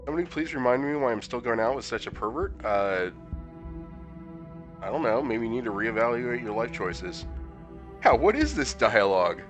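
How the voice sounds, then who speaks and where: A hoarse man streaming a horror visual novel, reading the dialogue aloud and adding sarcastic comments, sometimes jumping into high-pitched disbelief. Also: sing-song, moderate pace. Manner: casual, sarcastic commentary with sudden high-pitched incredulous outbursts. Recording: music playing behind the voice.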